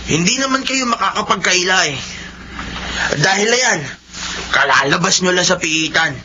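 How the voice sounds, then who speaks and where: An actor with a Filipino accent playing a bitter, defiant young son in a radio drama, accusing and sarcastic. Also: male voice, sits high, expressive, normal speed. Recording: dull lo-fi broadcast, faint noise.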